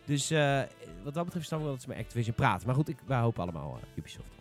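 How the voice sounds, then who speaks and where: Fast-talking Dutch man hosting a podcast. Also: mid-range voice, very animated, faint music behind. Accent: Netherlands Dutch. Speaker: podcast host. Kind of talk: casual panel discussion about games.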